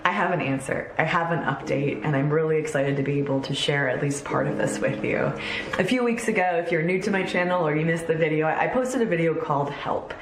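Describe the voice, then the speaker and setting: A woman's voice: sits low, brisk, noisy background. Woman, 30s, vlog host; candid, enthusiastic, a bit congested; echoey room. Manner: chatty, candid, warmly enthusiastic. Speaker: vlogger host. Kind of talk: casual personal vlog.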